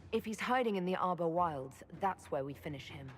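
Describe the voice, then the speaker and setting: A woman's voice: on the low side, lively intonation, brisk, faint music behind, close to an everyday voice. A woman playing a confident, decisive leader in a fantasy game, composed and direct. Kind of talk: dramatic fantasy game acting.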